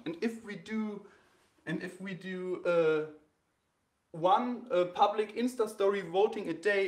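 A man in his thirties from Germany with a German accent, hosting a battle and explaining the rules live to a phone camera, halting with many fillers and restarts. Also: high-pitched, reverberant. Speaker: host of a graffiti sketch battle. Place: indoor room with a wall-mounted bracket.

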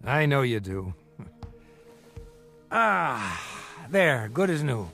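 An actor playing a charming, hard-drinking middle-aged bookie in a radio drama, full of flowery, mock-grand flattery. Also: male voice, medium pitch, drawn-out words, creaky, soft background music, full character voice. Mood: playful, flattering.

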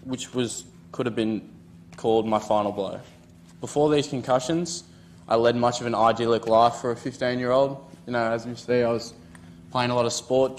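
A man's voice: medium pitch, slight background noise. A young Australian man in his late teens giving an earnest prepared talk at a conference podium. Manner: earnest, reads from notes. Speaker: student speaker.

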